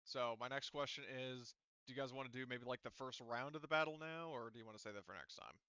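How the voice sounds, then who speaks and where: A man runs a casual tabletop game in a forceful, smooth voice. He talks through the details out loud, pausing to correct himself. The sound is very dry and a little lo-fi, like a voice chat. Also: medium pitch, dry recording. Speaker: game master. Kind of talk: casual tabletop game talk, running a session.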